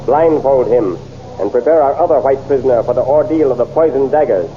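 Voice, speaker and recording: A man's voice: medium pitch, brisk, natural voice. A man playing a stern, commanding prince. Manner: commanding, formal speech. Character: commanding exiled male prince, stern and proud. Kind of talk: 1930s radio serial acting. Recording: dull lo-fi old radio serial recording.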